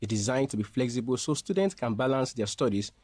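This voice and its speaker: A man's voice: mid-range voice, expressive, a little mellow, slightly rough, no room sound. Formal man in a dry studio. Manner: formal, measured explaining. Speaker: university representative being interviewed. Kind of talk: scripted promotional interview.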